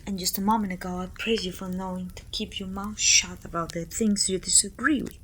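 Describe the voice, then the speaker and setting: A woman playing a pompous, self-pitying evil queen who talks down to her servant in an intimate, breathy ASMR roleplay, often dropping toward a whisper. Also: medium pitch, steady tone, brisk, fairly bright tone, slight background noise, close to an everyday voice. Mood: coolly menacing. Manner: pompous, petulant, theatrical sighing complaints.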